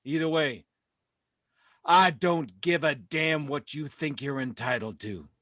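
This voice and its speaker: Man acting an arrogant Marine colonel, bright high-pitched voice. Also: slow pace, no room sound, studio quality. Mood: dismissive, smug. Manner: haughty, contemptuous, emphatic oratory. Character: arrogant, commanding male Marine colonel on the witness stand. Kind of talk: dramatic script reading.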